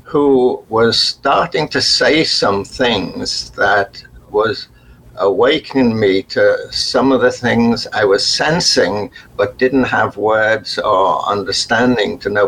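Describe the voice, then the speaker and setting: An older man from England with a faint Liverpool accent, a radio interview guest who talks slowly and haltingly with many restarts. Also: fairly low pitch, creaky, fairly bright tone, slight background noise, low-quality recording. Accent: faint Liverpool, mostly softened. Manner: slow and halting, with restarts and fillers. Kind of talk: reflective interview talk.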